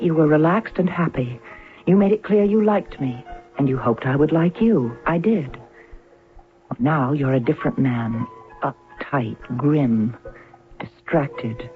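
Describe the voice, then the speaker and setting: An actress playing a cool, possessive sweetheart in old-time radio drama, with measured, formal phrasing. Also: female voice, deep voice, phone-quality sound. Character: cool, possessive woman, guarded and quietly demanding sweetheart. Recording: band-limited radio drama recording with music.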